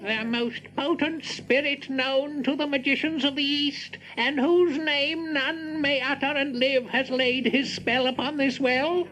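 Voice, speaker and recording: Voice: high voice, fairly even intonation, measured pace, few pauses, sharp tone, low-quality recording. An actor playing a pompous, doom-laden old wizard in a radio drama, with archaic, portentous pronouncements. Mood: ominous, solemn. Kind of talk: theatrical radio drama acting.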